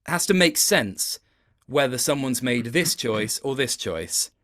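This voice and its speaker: A man with a medium-pitched voice chats casually as an interview guest. He sounds like a working actor talking shop, thoughtful and a little self-deprecating, picking his way through each point. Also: lively intonation, measured pace, a bit bright.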